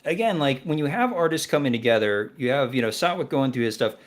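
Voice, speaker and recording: A man's voice: mid-range voice, expressive, fast. A man hosting a music discovery radio show, talking casually in a rambling, playful way with many fillers. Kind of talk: casual chat.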